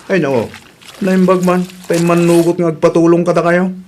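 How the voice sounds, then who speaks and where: A man's voice: sits high, little pitch movement, normal speed, a little mellow, slight background noise, natural voice. A man playing a plain-spoken, advising husband and father in a radio comedy drama, with an Ilocano Filipino accent. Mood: matter-of-fact.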